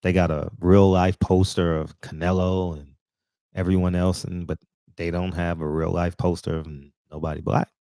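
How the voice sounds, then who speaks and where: A man's voice: low-pitched, little pitch movement, moderate pace, mellow, no room sound, clean studio sound. A man in his forties giving a casual, rambling commentary monologue as host of his own channel, full of fillers and restarts. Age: 40s.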